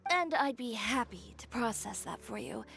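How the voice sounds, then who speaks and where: A woman's voice: a little high, lively intonation, normal speed, creaky, fairly bright tone, faint music behind. A woman playing a poised young fashion designer in a video game, composed, frank and businesslike. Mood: calm, professional.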